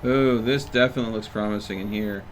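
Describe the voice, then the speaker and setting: A man's voice: fairly low pitch, steady tone, fast, faint music behind. A man livestreaming a game with casual commentary, rambling and thinking aloud as he plays. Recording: faint noise behind voice.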